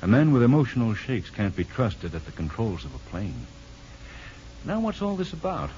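An actor in a 1950s radio drama playing a calm, kindly hospital doctor with a measured, gently probing manner. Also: male voice, medium pitch. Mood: curious, probing. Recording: muffled, noisy old radio broadcast.

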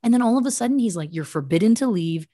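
A woman's voice: medium pitch, expressive, fast, dark tone, no room sound, clean studio sound. A woman hosting a podcast, retelling a story as mock-serious true crime in an emphatic, conversational way with asides. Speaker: podcast host telling a story.

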